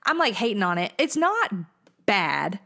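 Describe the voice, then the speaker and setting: A woman's voice: mid-range voice, sing-song, measured pace, vocal fry, pushed and bright. An American woman co-hosting a podcast, chatting casually in an informal, slangy way. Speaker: podcast co-host. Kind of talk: casual pop culture chat.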